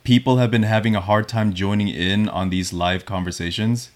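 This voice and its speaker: A man hosting a podcast, chatting casually in a relaxed, self-deprecating, soft-spoken way. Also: on the low side, normal speed. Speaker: podcast host. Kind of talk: casual chat.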